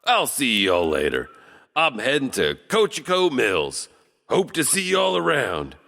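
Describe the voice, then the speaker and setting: A man's voice: medium pitch, wildly swooping pitch, measured pace, gravelly, pushed and bright. A man hosting a podcast, telling spooky tales in a theatrical, folksy, conversational way. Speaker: podcast host. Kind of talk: spooky storytelling narration. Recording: long reverb, music underneath. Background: music.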